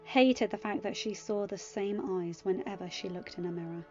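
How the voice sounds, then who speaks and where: A woman narrates fiction in a scripted audiobook style at a medium pitch. Music plays underneath, and the sound is slightly muffled. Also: normal speed, darkish tone.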